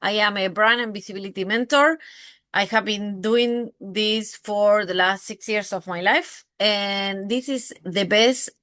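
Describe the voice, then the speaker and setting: A woman's voice: medium pitch, a little slow, a bit bright, no echo, clean studio sound. A woman from Argentina with an Argentine Spanish accent, a brand and visibility mentor giving a live masterclass. She talks effusively, swears casually and keeps checking in with tag questions. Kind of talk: live masterclass talk to camera.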